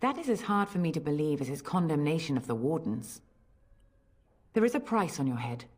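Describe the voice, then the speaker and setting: Low, husky, guarded head priestess. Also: female voice, sing-song, fairly quick, exaggerated voice. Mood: troubled, guarded. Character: stern, guarded female head priest of a chantry. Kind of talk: fantasy video game acting. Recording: faint music behind the voice.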